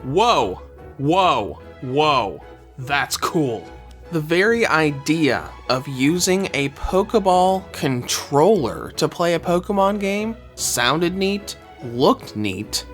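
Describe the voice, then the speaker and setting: A man's voice: a little high, very animated, slow, a bit bright, faint music behind. A man narrating a scripted review of a game controller, a little under the weather, speaking with enthusiasm.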